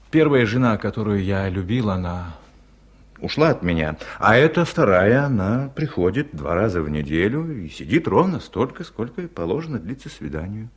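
A lonely, ailing villager in middle age, with a low and husky voice. His delivery swings expressively while staying humble and hesitant, in the manner of an old radio drama. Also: big pitch swings, normal speed. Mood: sad, confiding. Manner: hesitant, confiding. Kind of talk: 1970s radio drama acting.

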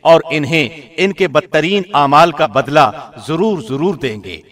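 A man reading a scripture translation from a script in a formal, solemn radio presenter's style with deliberate enunciation. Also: fairly high pitch, animated, fairly bright tone. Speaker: translation reader, radio host.

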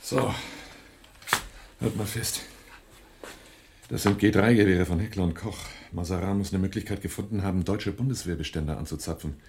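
A man's voice: low-pitched, moderate pace, gravelly, a little mellow, slight background noise. An actor playing a commanding, terse, hard-boiled armed man on the run in a German radio drama, both narrating and giving orders. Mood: brisk, instructing.

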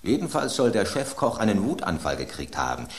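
An actor playing a gossiping loaf of bread that spreads dramatic kitchen rumours in a radio play. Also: male voice, fairly low pitch, big pitch swings, fairly quick, echoey room. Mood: gossipy.

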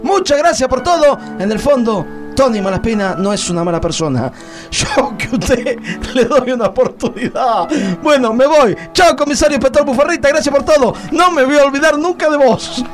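Casting: male actor as chatty, evasive Argentine man, extremely high voice, rambling witness, radio comedy over music. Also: expressive, moderate pace. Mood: breezy. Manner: hesitant, rambling, mock-philosophical. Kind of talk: parody radio drama acting.

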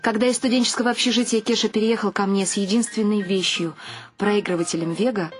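An actress playing an expressive middle-aged mother who tells the story of her family in a radio play. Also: medium pitch, quick pace, close to an everyday voice. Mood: wry narrating. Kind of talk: radio play narration and acting. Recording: music under the voice.

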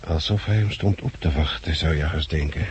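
A man's voice: rumbling low, a little fast, muffled recording. A man playing a wary, questioning survivor of a catastrophe in a 1960s radio drama. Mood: uneasy. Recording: hissy 1960s radio recording.

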